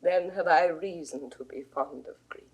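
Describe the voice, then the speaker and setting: An actress playing a grief-stricken widowed mother in a classical Shakespearean audio drama, with theatrical, declamatory delivery that swings between hush and wail. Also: deep voice, a little slow, poor audio. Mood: sorrowful.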